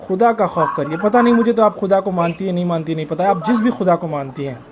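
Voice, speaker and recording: High-pitched, insistent man. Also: fast. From India. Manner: insistent, pleading, reproachful. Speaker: caller. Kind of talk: confrontational phone conversation. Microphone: phone call. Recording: noisy recorded phone call.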